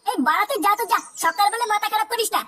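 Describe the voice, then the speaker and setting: A man voicing a boastful, snobbish newly rich gambler in a comedy cartoon, with a West Bengal Bengali accent and a pitched-up voice. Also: racing, sharp tone. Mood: scornful bragging. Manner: boastful, dismissive, sweary. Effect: pitched-up, sped-up voice. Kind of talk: comic cartoon voice acting.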